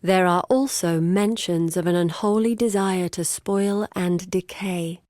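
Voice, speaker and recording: A woman playing an earnest, scholarly young daughter in a video game, speaking in a measured, formal, articulate way. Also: fairly low pitch, steady tone, a little mellow, no room sound, studio quality, close to an everyday voice. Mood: serious, pondering. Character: earnest scholarly young woman, determined daughter seeking her lost father. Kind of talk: scripted video game character dialogue.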